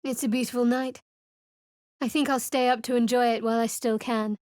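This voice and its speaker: Game role: an actress as a sardonic guarded young cleric, fairly high voice, dry close studio sound. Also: female voice, flat delivery, fairly quick, darkish tone, no room sound, natural voice. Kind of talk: video game character voice lines. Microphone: close studio mic.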